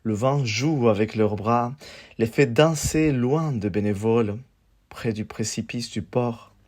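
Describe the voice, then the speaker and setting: A man reciting spoken-word poetry in a measured, declamatory way, in short phrases set apart by pauses. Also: mid-range voice, darkish tone.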